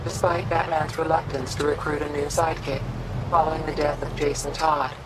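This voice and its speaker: A woman voicing a calm, impersonal computer assistant in a video game, narrating in a measured, clinical way. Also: low voice, background noise, natural voice. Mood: impersonal, informative.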